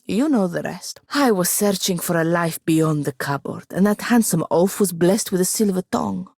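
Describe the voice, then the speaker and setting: A young sorceress's apprentice from a fantasy video game, speaks low and with a gravelly edge. She is ambitious and sharp-tongued, choosing her words with dry, haughty precision. The recording is close and very dry. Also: animated, moderate pace, dry recording. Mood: wry, reflective. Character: sardonic, ambitious young female wizard apprentice. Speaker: video game companion character. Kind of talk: scripted fantasy game dialogue.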